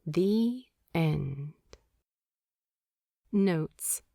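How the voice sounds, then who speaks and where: Woman audiobook narrator, slow lively reading, dry close mic. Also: lowish voice, gravelly, no room sound. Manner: expressive, measured reading. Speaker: fanfiction narrator.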